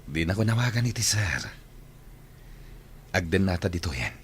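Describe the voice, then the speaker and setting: A man's voice: deep voice, sing-song, moderate pace. A man acting in a radio drama as a domineering businessman who coaxes and bosses a younger woman. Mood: coaxing, insistent. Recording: noisy recording with background ambience.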